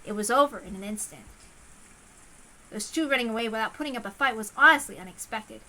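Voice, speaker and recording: Bright, high-voiced woman reading a story. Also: fast, rough recording. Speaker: visual novel reader. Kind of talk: dramatic first-person story narration.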